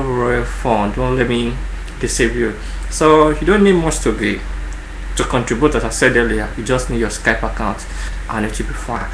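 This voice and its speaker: A man's voice: medium pitch, a bit bright, echoey room, a little hiss or hum, low-quality recording. A man with a Nigerian accent hosting his own live online channel, talking casually, often repeating words and dropping in Yoruba phrases. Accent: Nigerian English. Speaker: host of a livestream channel. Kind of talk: casual live stream monologue.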